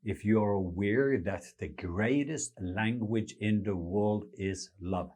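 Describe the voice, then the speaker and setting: Husky, low-voiced Norwegian-accented preacher. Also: male voice, a little mellow. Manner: earnest, slow and deliberate, with non-native phrasing. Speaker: preacher and podcast host. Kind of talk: unscripted teaching monologue.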